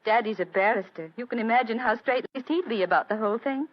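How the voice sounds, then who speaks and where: A well-bred young British lady played by a woman in a radio play, with a fairly high, girlish voice. She is forthright and coaxing, earnest yet self-assured, on a dull lo-fi recording. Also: quick pace, natural voice. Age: young adult. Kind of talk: radio drama acting.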